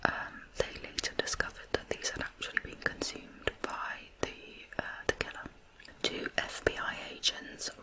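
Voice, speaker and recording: A person narrating true crime in ASMR style, whispering softly with deliberate, unhurried phrasing. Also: low-quality recording.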